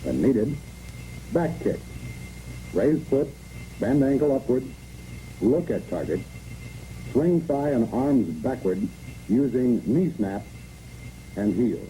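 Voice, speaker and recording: Dark-voiced American man narrating a training film. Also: mid-range voice, lively intonation, takes it slowly, long pauses. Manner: clipped, imperative, step-by-step delivery. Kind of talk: scripted instructional military narration, 1960s film. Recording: noisy, muffled 1960s training film soundtrack.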